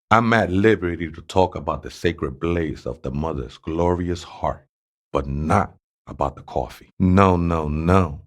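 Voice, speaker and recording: Low-voiced, streetwise Chicano preacher. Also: male voice. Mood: musing, devout. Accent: Chicano Spanish-English. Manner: slangy, fervent preaching mixed with street talk. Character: streetwise, devout male ex-drinker preaching salvation. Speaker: video game voice actor. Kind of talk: character dialogue for a role-playing game.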